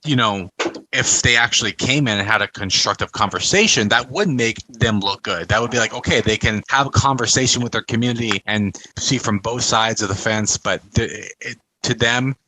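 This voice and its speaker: A man's voice: on the low side, normal speed, bright, forceful tone, rough recording. A man hosting a casual discussion over a video call, talking in an outspoken, emphatic way. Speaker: host.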